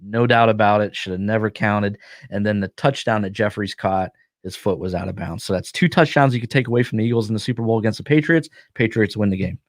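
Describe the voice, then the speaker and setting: Male podcast host, lowish pitch, close dry sound, worn voice, opinionated and jokey. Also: quick pace, hardly any pauses, dry recording. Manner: opinionated, jokey football picks. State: losing his voice. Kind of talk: casual sports podcast chat.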